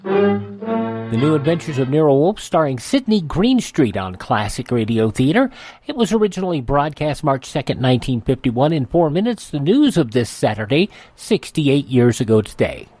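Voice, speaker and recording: A man's voice: a little high, sing-song, normal speed, warm tone, no room sound, faint music behind. An older man hosting a radio show, reading ads in a chatty, rambling, conversational way. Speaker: radio host. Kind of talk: casual ad read.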